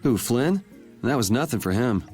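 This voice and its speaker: A man's voice: medium pitch, animated, normal speed, darkish tone, soft background music, natural voice. A man playing a cool, laconic young swordsman in a video game, casual and understated. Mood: nonchalant. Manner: casual, understated, laid-back. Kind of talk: video game cutscene voice acting.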